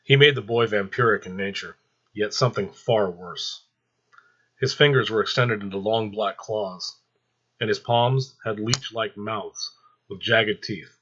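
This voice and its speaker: A man narrates a story dramatically in a lowish, husky voice with a forceful edge. The recording is slightly dull. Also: unhurried.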